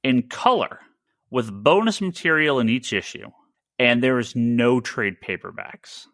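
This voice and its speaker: Bright-voiced, animated man hosting a podcast. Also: mid-range voice, measured pace, dry recording, clean studio sound. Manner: emphatic, opinionated, repeats and restarts phrases. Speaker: podcast host, comic store owner. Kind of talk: casual podcast chat about comics.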